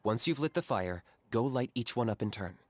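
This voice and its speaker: A man voicing a calm, practical young warrior in a video game, giving instructions in a cool, level way. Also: medium pitch, moderate pace, lightly acted.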